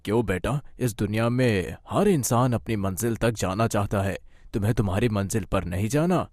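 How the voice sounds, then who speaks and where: A man as a calm, mysterious rickshaw driver. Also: fairly low pitch, normal speed, no room sound, clean studio sound, lightly acted. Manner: calm, reassuring. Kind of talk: Hindi moral-story cartoon dub.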